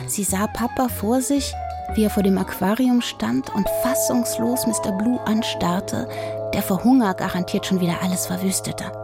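A woman's voice: medium pitch, moderate pace, gravelly, over loud music. A woman reading scripted narration as the storyteller of a children's audio story.

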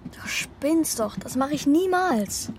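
A woman's voice: high voice, animated, slow, background noise. A woman playing an anxious, sceptical young boy who acts and narrates in a children's radio play, sounding hesitant. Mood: alarmed, reluctant. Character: anxious, doubting young boy, narrating his runaway adventure.

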